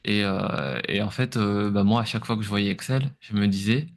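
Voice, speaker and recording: A man's voice: lowish voice, fairly even intonation, moderate pace, creaky. A man with an accent from France giving informal, explanatory interview answers as a podcast guest, somewhat hesitant in his delivery. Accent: French from France.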